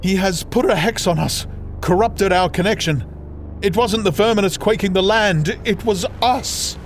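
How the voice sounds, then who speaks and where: Man as a solemn, high-pitched female hawk. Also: normal speed, slight background noise. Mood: urgent, grieving. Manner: earnest, ceremonious. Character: solemn, resolute female hawk, the guardian's sister. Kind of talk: fantasy audiobook character acting.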